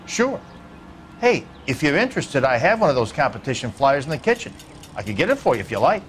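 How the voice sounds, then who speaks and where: Evasive, animated American neighbor. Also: male voice, medium pitch, brisk, slight background noise. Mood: helpful. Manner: folksy, offhand, defensive. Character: evasive, grudging male suburban neighbor and witness. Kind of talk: dramatic video game acting.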